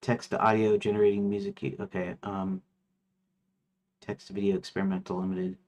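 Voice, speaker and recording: Rambling low-voiced man streaming gamedev. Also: moderate pace, gravelly. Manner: rambling, hesitant, many fillers and restarts; reads chatbot feature lists aloud in a flat mutter. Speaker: game developer streaming his work. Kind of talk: casual thinking-aloud stream chat.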